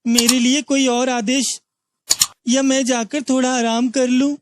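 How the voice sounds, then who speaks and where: A man's voice: very high voice, level tone, a little slow, noisy background. A man voicing a naive, obedient young villager in a cartoon moral story, meek and respectful. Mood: dutiful. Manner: respectful, submissive.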